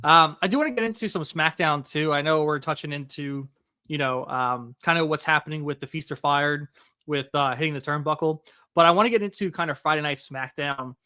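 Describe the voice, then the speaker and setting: A man co-hosting a casual podcast, his voice bright and ringing and pitched a little high. He talks eagerly and conversationally, with plenty of fillers, into a close mic in a dry room. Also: clean tone, no echo. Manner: excited, quick, conversational with filler. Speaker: podcast co-host. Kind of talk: casual wrestling podcast chat.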